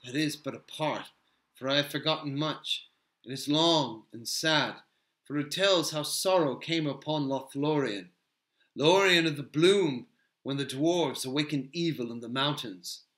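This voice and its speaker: A man's voice: on the high side, measured pace, a bit bright. Man voicing a wistful elf bard, echoing. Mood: sad, wistful. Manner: lyrical, solemn recitation of verse and lore. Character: wistful, grave male elf singer and storyteller. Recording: roomy, echoing sound.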